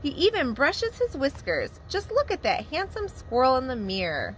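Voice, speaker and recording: A preschool teacher reads a picture book to young children with warm, expressive storytelling. Her voice sits fairly high and swoops up and down a great deal. A faint hiss runs underneath. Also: moderate pace, poor audio.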